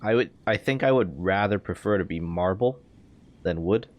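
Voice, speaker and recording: A man streaming a video game, giving casual, low-key, matter-of-fact live commentary. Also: moderate pace, dull audio, slight background noise. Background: game music.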